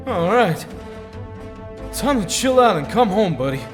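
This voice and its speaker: A man playing a brave young superhero leader in an audio drama, weary but upbeat, speaking haltingly with long reverb around him. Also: high voice, very animated. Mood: relieved, reassuring. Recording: long hall reverb over music.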